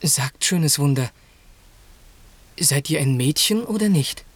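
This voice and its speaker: An actor playing a grieving, noble young prince in a classic German radio drama, speaking lofty verse in a husky, declamatory way. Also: male voice, fairly high pitch, lively intonation, slow, no room sound, heavily acted. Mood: awed.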